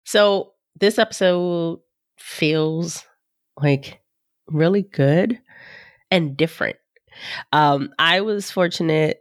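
A woman's voice: low-pitched, no room sound, studio quality. A woman hosting her podcast, sounding nasal and congested from a sinus infection, chatting in a loose, rambling, folksy way and calling her listeners y'all. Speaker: podcast host. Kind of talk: casual podcast intro chat.

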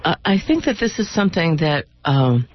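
A woman's voice: sits low, sing-song, moderate pace, slightly rough. A woman hosting a conversational radio interview, feeling her way through her questions. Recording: slightly dull radio recording.

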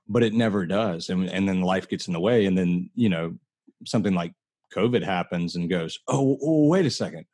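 A man's voice: deep voice, moderate pace, a little mellow, no room sound, studio quality. An American man in his late thirties hosting a casual, heartfelt podcast chat, talking earnestly and telling stories conversationally. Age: late 30s. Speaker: podcast host.

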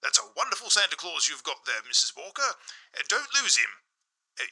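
A man's voice: on the high side, bright, forceful tone, heavily acted, rough recording. A man playing a blustery department store boss in an old-time radio drama, pompous and declamatory.

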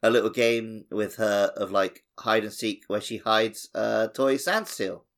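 A man's voice: on the low side, measured pace. A man co-hosting a podcast in casual chat, telling anecdotes in a jokey, self-deprecating way. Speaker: podcast co-host.

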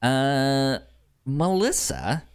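A man's voice: mid-range voice, big pitch swings, drawn-out words, smooth. Man, podcast host, casual conversational delivery, frequent stammer on word beginnings. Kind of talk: casual film podcast chat.

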